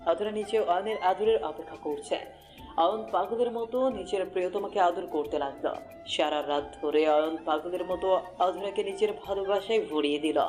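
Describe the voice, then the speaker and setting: A man's voice: high-pitched, moderate pace, darkish tone. Male romantic story narrator over music. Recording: music bed under the voice.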